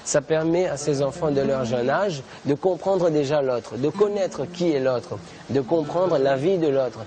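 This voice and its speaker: A man serving as an imam, calmly explaining customs inside his mosque. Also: a little high, a little slow. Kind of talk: explanatory talk to a visitor.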